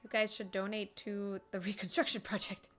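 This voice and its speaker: A woman's voice: medium pitch, level tone, normal speed, fairly bright tone. A woman live-streaming a game, commenting casually with wry, sarcastic asides. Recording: game music behind the voice. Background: video game music.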